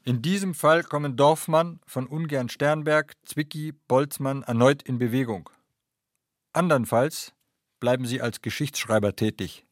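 A man's voice: mid-range voice, unhurried, no room sound, lightly acted. A man narrating a science fiction radio play as a matter-of-fact chronicler of a space voyage.

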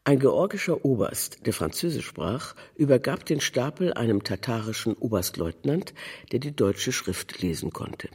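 A man reads a literary narrative in with measured, deliberate phrasing. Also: medium pitch, very animated, darkish tone, breathy edge. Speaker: narrator, reader of a literary text.

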